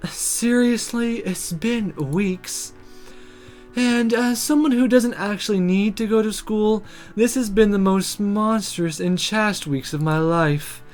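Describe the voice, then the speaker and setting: A woman's voice: mid-range voice, takes it slowly, a little mellow, soft background music, voice pushed up, lightly acted. A woman voicing a smug, flirty succubus demon with a teasing drawl for a visual novel. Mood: smug, complaining.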